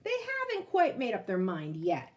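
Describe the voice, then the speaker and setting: A woman's voice: on the low side, huge pitch swings, normal speed, darkish tone, muffled recording. A woman reading a picture book aloud to children, explaining it and addressing young listeners directly as a teacher would. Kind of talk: expressive storybook read-aloud with asides to children.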